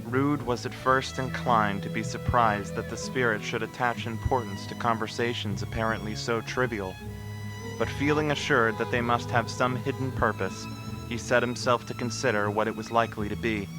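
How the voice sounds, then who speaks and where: A man's voice: mid-range voice, fairly even intonation, normal speed. A man narrating a scripted radio play in a solemn, literary storytelling manner. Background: music.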